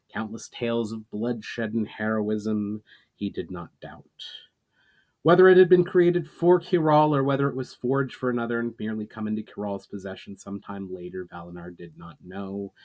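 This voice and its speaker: A man reading a fantasy story aloud as dramatic narration, in a measured, solemn manner with emphatic phrasing. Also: fairly low pitch, a little mellow. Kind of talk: dramatic audiobook-style narration.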